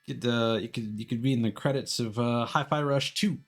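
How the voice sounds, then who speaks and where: A man's voice: mid-range voice, fairly quick. A man doing casual live stream commentary on a video game, chatting to viewers in a wry, sarcastic way.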